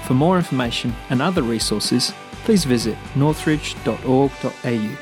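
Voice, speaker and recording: A man's voice: mid-range voice, expressive, moderate pace. A man reading a scripted, welcoming podcast intro. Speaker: podcast announcer. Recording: over guitar music. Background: guitar music.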